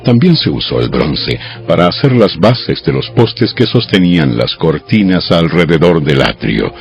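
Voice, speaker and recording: A man narrating scripture from a script in a measured, solemn, deliberate manner. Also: deep voice, big pitch swings. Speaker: audio Bible narrator. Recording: dull lo-fi audio with background music. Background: background music.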